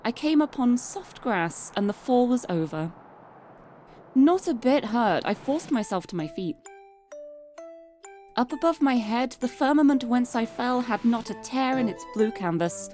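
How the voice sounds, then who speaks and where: A woman's voice: a little high, animated, measured pace, warm tone. A woman from the UK with a British accent, live streaming a game, chatting and reading aloud. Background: music.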